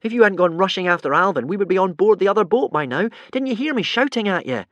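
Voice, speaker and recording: A man voicing a quick-witted young Viking boy who talks sarcastically. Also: a little high, very animated, fairly bright tone, no room sound, highs cut off, voice pushed up. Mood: sarcastic. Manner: sarcastic, grumbling.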